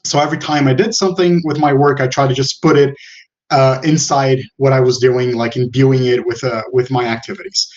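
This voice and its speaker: A man from Brazil giving an online talk as the guest speaker, relaxed and often correcting himself as he goes. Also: medium pitch, a little fast, lo-fi sound. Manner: relaxed, self-correcting, a few laughs. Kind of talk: online presentation.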